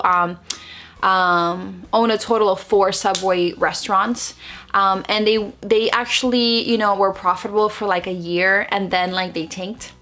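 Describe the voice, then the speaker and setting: A woman in her thirties whose first language is Spanish, chatting through a casual vlog in her bedroom. She talks animatedly with her hands, full of fillers and quick restarts. She sits close to the camera. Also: mid-range voice, a bit bright. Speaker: vlogger. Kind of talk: casual facts-about-me vlog. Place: bedroom at home, indoors. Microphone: camera close in front, seated.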